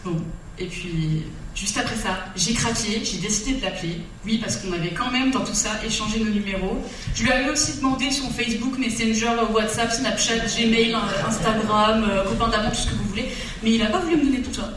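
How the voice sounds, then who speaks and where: A woman's voice: a little high, brisk, far from the mic, background noise. A woman telling a comic love story on stage, theatrical and breaking into song. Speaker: stage performer. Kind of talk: comic storytelling monologue with songs. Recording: echoey hall recorded from afar.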